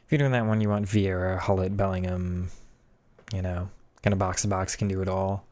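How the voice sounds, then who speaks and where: A hoarse young man with a very deep voice talks through a football video game in loose, casual commentary. He muses on tactics and mutters quick reactions to each pass and touch. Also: little pitch movement, a little mellow. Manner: thinking aloud, reacting to play. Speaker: gaming streamer.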